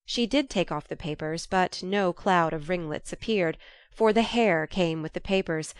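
A woman's voice: fairly low pitch, normal speed, clean tone, no echo. A woman reading a classic novel aloud as scripted audiobook narration.

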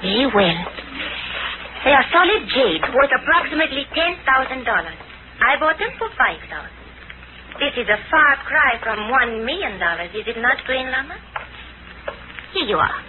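An actress playing a coy, poised Chinese antiques dealer with formal, measured politeness in a 1940s radio drama. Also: female voice, sits high, sing-song. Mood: displeased, cool. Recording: noisy, tinny old radio recording.

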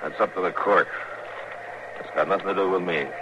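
Deep, laconic American frontier marshal played by an actor. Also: male voice, steady tone, a little fast, lightly acted. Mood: calm, firm. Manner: laconic, matter-of-fact. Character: stern, steady middle-aged US marshal of the Old West. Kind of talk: 1950s radio western acting. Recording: muffled, noisy 1950s radio recording.

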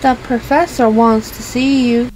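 A man putting on a gentle heroine's voice. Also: very high-pitched, level tone, measured pace, slight background noise, voice pushed up, poor audio. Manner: soft, gentle. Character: calm young woman superhero, gentle and caring.

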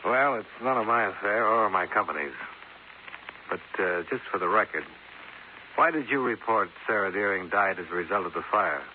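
Through a thin, faintly noisy 1950s radio recording comes a low-voiced American investigator, played by a male actor. He is hard-boiled and skeptical, with a clipped, sardonic way of probing, in classic radio detective style. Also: animated, moderate pace, narrow, tinny audio, lightly acted. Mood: measured.

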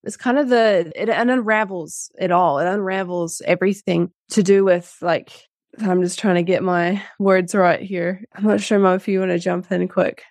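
A woman's voice: lowish voice, steady tone, normal speed, darkish tone, no room sound. Hesitant young woman, dry close sound. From grew up in Dubai. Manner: hesitant, searching for words with many fillers. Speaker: guest co-author. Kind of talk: casual interview chat.

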